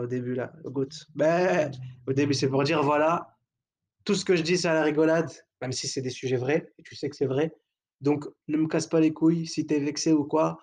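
A man's voice: fairly high pitch, a little mellow. A young man hosting his own podcast in a casual, provocative monologue, joking around in crude slang with lots of fillers and hesitations. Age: young adult. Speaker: podcast host.

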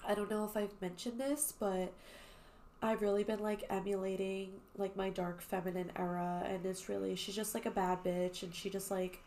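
A young woman talks casually on her podcast, rambling with plenty of fillers and the odd swear word. Her voice is mid-pitched and a bit nasal, as if she has a cold. A faint noise sits under the recording. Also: level tone, normal speed, a little mellow. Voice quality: slightly nasal, congested. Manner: chatty, swears freely, many fillers like "um" and "like". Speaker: podcast host. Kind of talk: casual chat.

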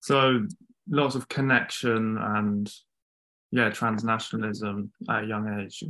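A man interviewing a guest, asking calm, measured questions. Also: lowish voice.

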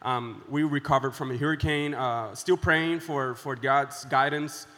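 A man from Puerto Rico, a visiting pastor speaking with many pauses and fillers, good-humored on a church stage. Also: medium pitch, fairly even intonation, fairly bright tone, long reverb tail. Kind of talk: casual onstage interview. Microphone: handheld mic.

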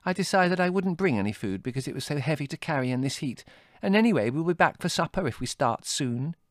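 A man plays a young woman in a storybook, pitched high. She is bossy and easily irritated, brushing off complaints with brisk scorn. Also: lively intonation, no echo, studio quality, close to an everyday voice. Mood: breezy. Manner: brisk, scolding, dismissive.

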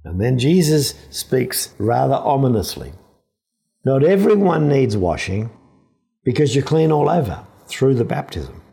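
A man giving an explanatory religious reflection as a priest and professor, speaking in a deliberate, emphatic, teacherly way. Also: mid-range voice, big pitch swings, creaky, mellow.

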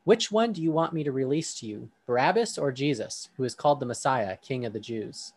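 A man hosting a podcast discussion, talking in a relaxed way with frequent ums, then reading a Bible passage aloud. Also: medium pitch, fairly quick, no echo. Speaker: podcast host.

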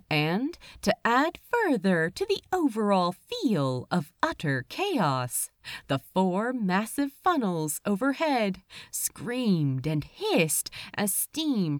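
A woman reads fiction aloud in a mid-pitched voice that rises and falls expressively. She takes her time, placing deliberate pauses for dramatic weight. The sound is dry, with hardly any room on it. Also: dry recording. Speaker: audiobook reader of fan fiction. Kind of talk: expressive scripted story narration.

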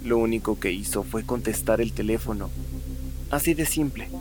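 An actor playing a serious, sceptical ex-soldier, firm and deliberate, in a Spanish-language radio drama. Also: male voice, lowish voice, over music, close to an everyday voice. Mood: grave.

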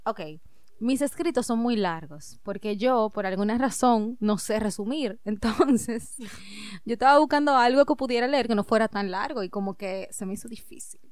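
A woman's voice: fairly high pitch, moderate pace, darkish tone, dry recording. Female co-host, easygoing and talkative, close dry sound. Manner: chatty, addresses listeners directly, laughs easily. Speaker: podcast co-host. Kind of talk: casual chat and reading her own writing aloud.

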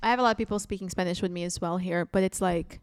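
Portuguese-accented woman, comedian guest, animated chat, dry close sound. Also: lowish voice, quick pace, clear, resonant tone, no room sound. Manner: animated, chatty, with frequent restarts. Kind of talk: casual chat.

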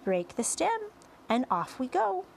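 Woman voicing a kind, lilting, high-pitched lady ladybug. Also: huge pitch swings, measured pace, gravelly, slight background noise, exaggerated voice. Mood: reassuring, eager. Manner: explanatory, reassuring. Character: kind, gentle, chatty female ladybug, reassuring and confident.

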